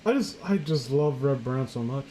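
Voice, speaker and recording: A man's voice: medium pitch, expressive, normal speed, warm tone, rough recording. A man chatting casually and jokingly as a co-host, rambling and swearing as he goes. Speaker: co-host of a comedy story-reading show. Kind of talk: casual joking chat and mock reading. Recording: faint background noise, home recording.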